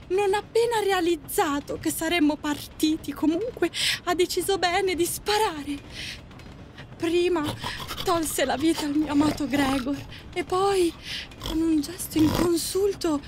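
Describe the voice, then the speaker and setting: A woman's voice: very high-pitched, measured pace, noisy background. An actress playing a genteel, theatrical lady ghost who tells her story in an audio drama. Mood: mournful, dramatic.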